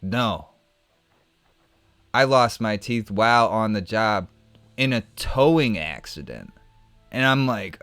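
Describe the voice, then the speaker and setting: A man's voice: medium pitch, clear, resonant tone, dry recording. A man live-streaming a game and chatting casually, telling a rambling story with lots of restarts and fillers. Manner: animated, rambling, many restarts and "like". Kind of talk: casual storytelling while gaming.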